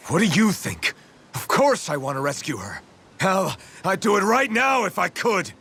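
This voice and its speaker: A man's voice: high voice, big pitch swings, moderate pace, pushed and bright, breathy edge, heavily acted. A man voicing a troubled but determined young hero in a game, speaking in an earnest, brooding manner. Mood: agitated. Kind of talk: dubbed anime-style game voice acting. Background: prominent background music.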